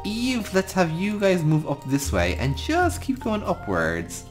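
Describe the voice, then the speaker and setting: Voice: medium pitch, wildly swooping pitch, a little slow, soft background music. An Irish gaming host giving improvised storytelling commentary over a game, talking affectionately to the creatures. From Ireland.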